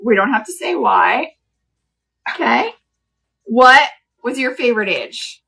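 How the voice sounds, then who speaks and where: A woman in her late thirties with a somewhat high, bright-sounding voice hosts a live craft stream. She chats easily, laughs often, and talks viewers through each step of the project. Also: sing-song, takes it slowly, creaky, breathy edge. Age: 30s. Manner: chatty, laughs often, explains steps. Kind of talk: casual chat while crafting live.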